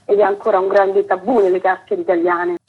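A woman's voice: medium pitch, level tone, fast, husky, narrow, tinny audio. A woman being interviewed on the radio as a theatre director, explaining earnestly in long, fluent sentences.

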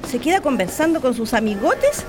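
A woman's voice: high voice, sing-song, fairly quick, music in the background. An actress playing a bossy, flustered Chilean mother in a radio drama, commanding and fussy with her household. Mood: alarmed, frantic. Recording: clear background noise.

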